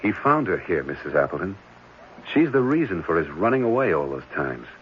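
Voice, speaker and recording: Earnest, deep-voiced, searching man. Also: very animated, brisk. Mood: sober. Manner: questioning, intense. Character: earnest, searching man troubled by a child's plight. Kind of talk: old-time radio drama acting. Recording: dull, noisy old radio recording.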